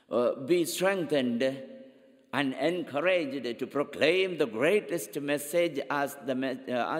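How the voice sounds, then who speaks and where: A middle-aged man preaching a homily as a priest from a church pulpit, speaking deliberately and often repeating his phrases. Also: medium pitch. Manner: slow, deliberate preaching with frequent repetitions. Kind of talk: sermon. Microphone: pulpit microphone, far camera. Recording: long church reverb.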